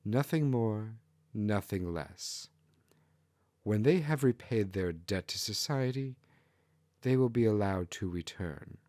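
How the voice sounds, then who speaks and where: A man's voice: on the low side, animated, slow, darkish tone, no echo, studio quality. A man voicing an arrogant, callous female fox kitsune ruler in a haughty, dismissive manner for a fantasy story. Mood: cold, dismissive.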